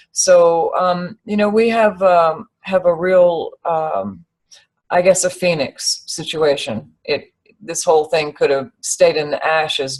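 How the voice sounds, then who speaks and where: A woman hosting a webinar over a video call, thanking her guest in a meandering way and laughing easily. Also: low-pitched. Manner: warm thanks with many fillers and restarts, laughs easily. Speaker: webinar host. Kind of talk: webinar conversation.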